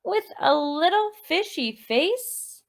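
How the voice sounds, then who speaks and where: High, slow, expressive woman storyteller. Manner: expressive, deliberate, prompting with questions and repeated words. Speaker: dance teacher reading a movement story. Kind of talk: children's guided storytelling.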